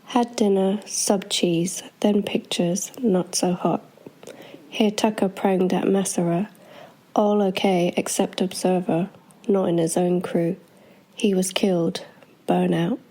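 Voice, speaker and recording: A woman's voice: medium pitch, fairly even intonation, slow pace, warm tone, low-quality recording. A young adult woman reading short, clipped wartime diary entries aloud. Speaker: diary reader.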